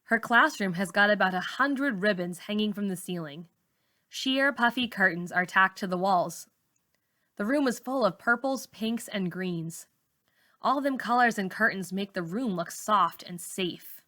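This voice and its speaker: A woman reading a novel aloud as a teacher would, in expressive first-person story narration. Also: mid-range voice, unhurried.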